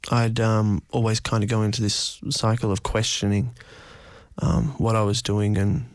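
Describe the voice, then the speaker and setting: A man's voice: fairly low pitch, little pitch movement, moderate pace, no room sound. A man in his twenties being interviewed, speaking personally in a hesitant, reflective way. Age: 20s.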